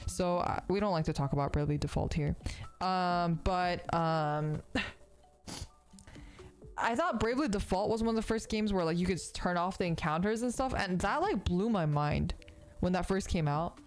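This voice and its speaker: A woman's voice: fairly low pitch, moderate pace, soft background music. Sniffly woman rambling on stream. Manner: rambling, profane, filler-heavy. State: sniffly, congested. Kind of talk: casual stream chat while crafting.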